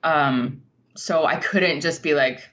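A woman giving a reflective, conversational interview as a guest writer, speaking candidly and informally in a halting way over muffled audio. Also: deep voice, fairly bright tone.

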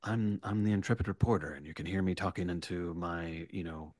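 Man, lowish voice, writer being interviewed, animated, halting and rambling, very dry close sound. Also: dry recording. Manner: animated, rambling, hesitant, self-correcting. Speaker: podcast guest, horror writer. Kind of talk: casual interview answer.

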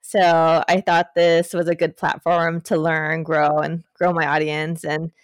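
A woman's voice: deep voice, flat delivery, measured pace, clear, resonant tone, no room sound, clean studio sound. A woman in her thirties hosting a podcast over a call, gushing and casual. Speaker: podcast host, coach. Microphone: remote call.

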